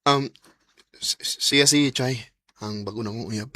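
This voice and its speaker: An actor playing a remorseful, unfaithful young boyfriend in a Bisaya radio drama, with a Cebuano Filipino accent and a stammering, dramatic delivery. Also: male voice, mid-range voice, unhurried, bright, forceful tone. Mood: flustered, apologetic.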